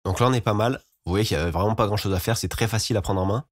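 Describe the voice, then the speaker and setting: A man giving an informal, explanatory software tutorial as a voice-over. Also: fairly low pitch, very fast, heavy background noise. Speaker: music production tutorial host.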